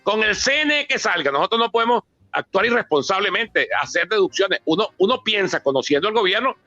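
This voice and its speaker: A man with a Venezuelan accent speaks in a high, bright and forceful voice. He gives impromptu political commentary in an emphatic, oratorical way, stacking up repetitions. The sound is dry. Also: very animated, fairly quick, no room sound, dull audio, faint music behind. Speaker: political analyst and author speaking at a book presentation.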